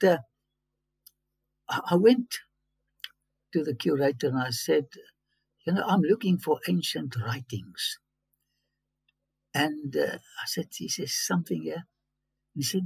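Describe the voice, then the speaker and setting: A fairly high-voiced man, raspy and gravelly, recounting his own story in English with sudden emphatic bursts. His speech halts and restarts as he goes. The sound is dry and close, with no room around it. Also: no room sound. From South Africa. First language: Afrikaans. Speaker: interview guest.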